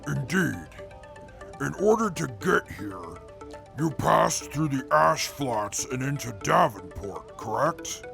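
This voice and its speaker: A man playing a stiff, logical intellectual who speaks formally and deadpan in a post-apocalyptic audio drama. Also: medium pitch, slow, gravelly, over music, exaggerated voice. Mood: formal, measured. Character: Atlas, stiff logical field interviewer, amoral intellectual.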